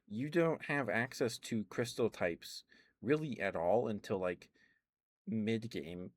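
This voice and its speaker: A man rambling casually in an ASMR livestream style, halting and restarting his words as he goes, close to the microphone. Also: on the low side, a little hiss or hum. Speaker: livestream host.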